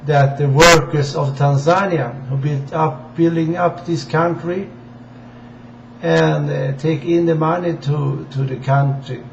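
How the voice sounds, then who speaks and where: A man from Sweden with a Swedish accent gives an informal political address in halting, deliberate non-native English. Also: on the high side, fairly even intonation, creaky. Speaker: amateur political campaigner addressing viewers. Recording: dull, echoey room with faint hiss.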